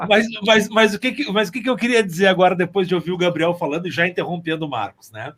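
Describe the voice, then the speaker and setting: A man's voice: high-pitched, fast talker, a bit bright. A man chatting casually, rambling and joking as he goes.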